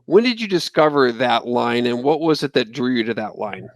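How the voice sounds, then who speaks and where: A man's voice: mid-range voice, animated, normal speed, no room sound, clean studio sound. An American man hosting an interview, introducing his guest and asking questions. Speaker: interviewer, trade magazine host.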